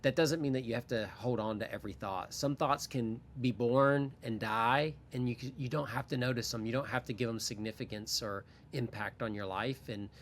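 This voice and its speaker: A man's voice: medium pitch, normal speed, hardly any pauses, a little hiss or hum. A man in his forties, a podcast guest talking candidly and thinking aloud in a casual interview. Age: 40s.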